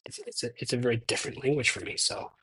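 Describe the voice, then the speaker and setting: A man's voice: mid-range voice, quick pace, pushed and bright, slightly rough, rough recording. A man of thirty-one, a stuntman guest in a casual interview, talking reflectively with many restarts. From United States. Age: 30s.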